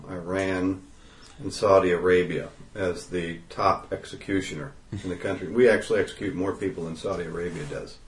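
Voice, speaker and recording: A man speaking as a panelist in a discussion, explaining his points in a measured way. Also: sits low. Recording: echoey room with faint hum.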